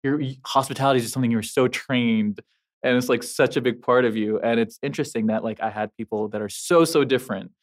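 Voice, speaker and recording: A man from the Middle East hosting a podcast, talking casually with fillers. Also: medium pitch. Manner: casual, many fillers, quick agreement. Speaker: podcast host. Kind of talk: podcast conversation.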